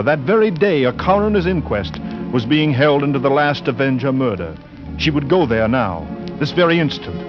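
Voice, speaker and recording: An actor playing the grave storyteller of a 1940s radio suspense drama, reading dramatic scripted narration. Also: male voice, medium pitch, close to an everyday voice. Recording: muffled, noisy old 1940s radio recording.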